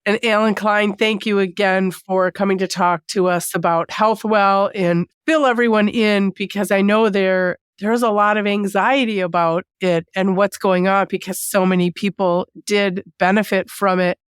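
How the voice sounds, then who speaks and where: Woman, podcast host, congested from a cold, earnest and concerned, smooth voice, dry sound. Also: mid-range voice, fairly even intonation, normal speed, few pauses, no echo. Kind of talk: podcast interview.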